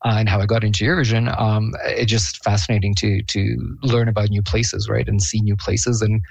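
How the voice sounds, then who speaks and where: Chatty low-voiced man, dry sound. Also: level tone, fairly quick, creaky, a little mellow, no room sound. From Bosnia and Herzegovina. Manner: enthusiastic, chatty, laughs often, many fillers. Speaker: guest, Eurovision fan. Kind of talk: casual interview chat.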